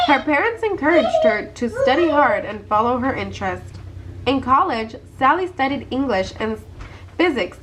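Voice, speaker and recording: A woman narrates a children's book in a read-aloud style. Her voice sits a little on the high side as she takes the role of storybook reader. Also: a bit bright, a little hiss or hum, poor audio.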